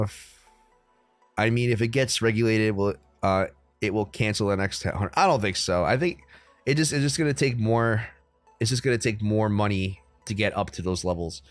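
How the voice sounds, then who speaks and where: Young guy streaming from home, lowish voice, rambly and chill, faint music behind. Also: no room sound. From United States. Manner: relaxed, rambling, frequent ums and likes. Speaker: crypto livestream host. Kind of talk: casual livestream chat answering viewers. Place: room at home.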